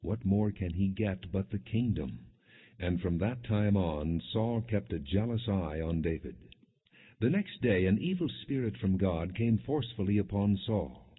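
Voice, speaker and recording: A man narrating scripture as a scripted audiobook in a measured, solemn storytelling manner. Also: sits low, breathy edge. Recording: muffled, phone-like narrow band.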